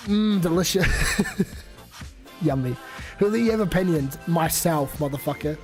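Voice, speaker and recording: A man's voice: fairly high pitch, unhurried, a little mellow, faint music behind. A young man with a New Zealand accent who is sick, rambling and swearing casually on a live stream while reading chat aloud.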